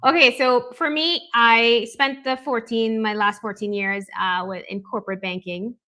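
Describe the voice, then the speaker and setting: A woman's voice: fairly high pitch, fairly even intonation, moderate pace, pushed and bright. Candid woman guest, reflective and earnest. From Canada. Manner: candid, reflective, frequent "right?" tags. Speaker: guest, life coach. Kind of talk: conversational interview.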